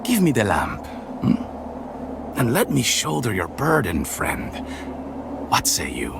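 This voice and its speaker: An actor playing a self-important, condescending nobleman in a dark fantasy game, with a flowery, theatrical delivery. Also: on the low side, wildly swooping pitch, slow pace, vocal fry, noisy background, full character voice. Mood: wheedling, condescending.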